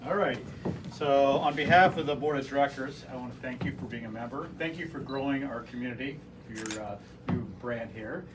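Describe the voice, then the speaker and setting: A man with a Midwestern accent, the chamber board chair, gives brief formal thanks. His voice sits at a typical pitch and comes from a distance through loud noise. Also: fairly quick. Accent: Midwestern American. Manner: plain, formal thank-yous. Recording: distant mic, loud noisy room.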